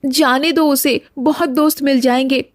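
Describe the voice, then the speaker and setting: A woman's voice: sits high, steady tone, a little slow, no echo, clean studio sound. A woman playing a fearful, scolding village wife in a cartoon story, speaking insistently. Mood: annoyed, dismissive. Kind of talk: scripted Hindi cartoon story dialogue. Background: background music.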